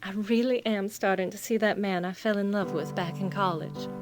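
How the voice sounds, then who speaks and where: A woman's voice: medium pitch, normal speed, soft background music, close to an everyday voice. A woman playing an earnest, guilt-ridden grown daughter and troubled wife in an audio drama, speaking emotionally. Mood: tender.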